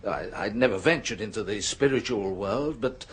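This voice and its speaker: An actor playing a scholarly male history professor in a radio drama, hesitant at first and then rising to hushed intensity. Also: fairly low pitch, normal speed, slight background noise, close to an everyday voice. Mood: earnest. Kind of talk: 1970s radio drama acting.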